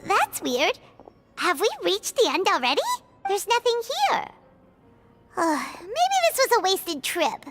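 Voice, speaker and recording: Squeaky, very high-pitched little fairy companion. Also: female voice, very animated, unhurried, full character voice. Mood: puzzled, let down. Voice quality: squeaky, childlike. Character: tiny childlike female fairy companion, excitable and curious. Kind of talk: video game character acting. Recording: loud music behind the voice.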